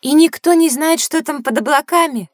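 A woman as a high-voiced, inquisitive child. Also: normal speed, dry recording, studio quality, natural voice. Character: observant, inquisitive child, thoughtful and knowing. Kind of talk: acted children's educational audio drama.

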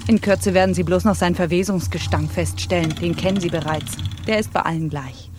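A woman's voice: fairly low pitch, fairly quick, background noise, natural voice. An actress playing a bitter, passionate female pirate captain in a radio drama, accusing and imperious. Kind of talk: intense radio drama acting.

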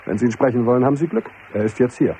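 A man's voice: mid-range voice, rapid-fire, warm tone, a little hiss or hum, natural voice, low-quality recording. A man playing a dutiful army sergeant in a radio drama, formal, dry and deferential. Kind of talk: German radio drama acting.

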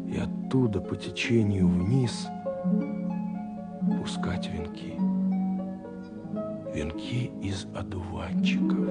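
An actor playing a romantic, dreamy young man who speaks his love letters in a lyrical, intimate radio play monologue. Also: fairly low pitch. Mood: dreamy, drowsy. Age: young adult. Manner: lyrical, intimate, swinging between hushed and exclaiming. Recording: slightly husky-sounding 1979 radio recording. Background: soft music.

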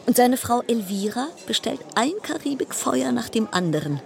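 A woman playing a wry, chatty cruise passenger travelling alone, musing with dramatic pauses in a radio play monologue. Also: a little high, expressive, gravelly. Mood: wry, exasperated.

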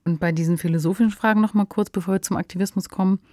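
A woman's voice: mid-range voice, fairly even intonation, rapid-fire, warm tone, dry recording, studio quality. A woman, co-hosting a podcast in a conversational, discursive style. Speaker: podcast co-host. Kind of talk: conversational radio drama discussion.

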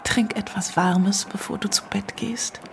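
An actress playing a composed, resolute and tender wife in a radio drama, calm and often hushed. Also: female voice, medium pitch, measured pace, creaky, whispered.

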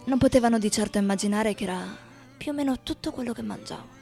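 A woman acting a boozy, brash character in a theatrical radio play, speaking expressively and haltingly. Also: on the high side, gravelly, mellow, soft background music. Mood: wistful. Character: boozy, brash, teasing woman lover; later reminiscing starving writer. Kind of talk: theatrical radio drama monologue.

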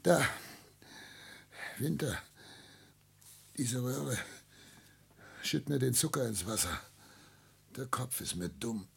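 A gravelly, broken old privy councillor. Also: male voice, medium pitch, lively intonation, a bit bright, heavily acted. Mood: despairing, failing. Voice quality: gravelly, breaking into hoarse whispers. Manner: slow, brooding, theatrical delivery. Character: broken, proud elderly privy councillor, weary and despairing. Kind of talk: classic German radio drama acting. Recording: old noisy radio recording with hum.

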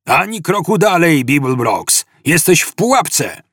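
A man's voice: on the high side, sing-song, measured pace, fairly bright tone, slightly rough, no echo, studio quality, voice pushed up, exaggerated voice. A man playing an indignant, wordy space policeman in a comic audiobook, bellowing his earnest speeches. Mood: threatening. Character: megaphone-wielding space policeman, indignant, self-righteous, wordy.

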